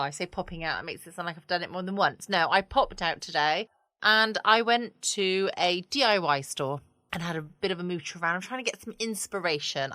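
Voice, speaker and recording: A woman's voice: on the low side, sharp tone, no echo. A woman chatting casually and wryly as the host of a late-night bedtime podcast. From United Kingdom.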